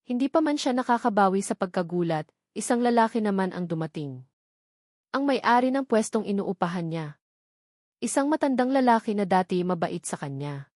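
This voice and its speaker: A woman reading a scripted, dramatic family love story as narrator, pausing between short phrases for effect. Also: medium pitch, animated, a little mellow, dry recording, clean studio sound.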